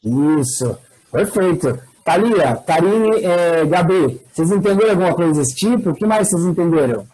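A man with a Brazilian Portuguese accent leads an online English class over a video call. His voice sits high and sounds slightly husky as he calls on students and encourages them. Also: expressive, brisk, mellow, poor audio. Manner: encouraging, switches to prompting students. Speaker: English teacher and host.

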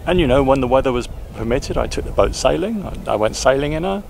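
A man in his forties talking casually to camera outdoors at a boatyard, relaxed and reflective, with frequent fillers and restarts. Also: medium pitch, fast, creaky, a little hiss or hum. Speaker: sailing vlogger. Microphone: camera-mounted mic, handheld selfie framing.

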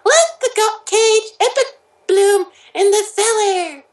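A woman voicing a high-pitched cartoon character who delights in despair, reading a story aloud. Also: takes it slowly. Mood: theatrical. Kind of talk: character-voice reading of fan fiction. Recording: roomy echo.

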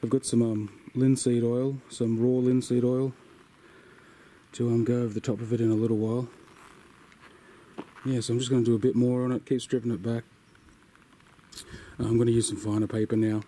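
A man's voice: mid-range voice, brisk, pauses often, a little hiss or hum. A man casually narrating his off-grid building project outdoors, easygoing with plenty of ums. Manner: relaxed, easygoing, lots of ums and yeahs. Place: outdoors in bushland and a work shed.

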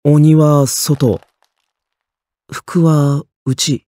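A man voicing a calm, plainspoken sword warrior in an anime game, frank and steady. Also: fairly high pitch, very slow, no room sound. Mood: calm, resolute.

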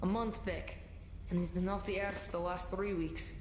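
A woman's voice: on the low side, steady tone, moderate pace, darkish tone, close to an everyday voice. Woman as earnest young boy, plucky, video game child soldier, echoey, noisy background. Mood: matter-of-fact. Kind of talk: video game character acting.

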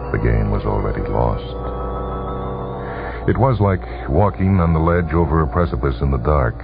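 Super low male narrator, slow-burn suspense radio story, thin old broadcast sound w music under it. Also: creaky. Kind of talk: dramatic radio short-story reading. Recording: tinny, band-limited vintage radio broadcast.

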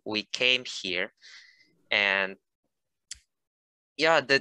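A man in his twenties from Indonesia, an international student explaining things haltingly on a video call. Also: medium pitch, pushed and bright, smooth, no room sound, poor audio. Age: 20s. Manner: halting, searching for words in English.

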